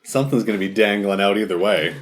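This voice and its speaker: A man's voice: fairly low pitch, fast talker. A man joining a casual movie podcast as a guest, jokey and playful. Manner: jokey, playful, mimics reactions.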